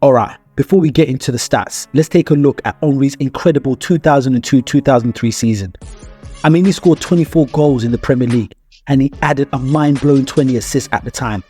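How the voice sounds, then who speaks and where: A man's voice: medium pitch, a little fast, dark tone, slightly rough. A man delivering scripted sports analysis as a video narrator, with emphatic phrasing and rhetorical questions to the viewer. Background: music.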